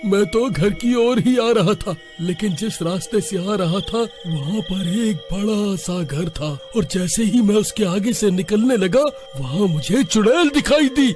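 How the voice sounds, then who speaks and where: A man's voice: high-pitched, lively intonation, a little slow, hardly any pauses, a little mellow, soft background music. A man voicing a frightened, timid younger brother in a cartoon story, fretful and pleading.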